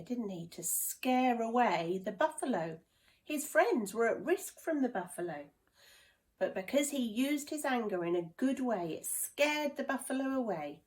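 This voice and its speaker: A woman reading and explaining a children's story, gently asking young listeners questions. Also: on the high side, rough recording.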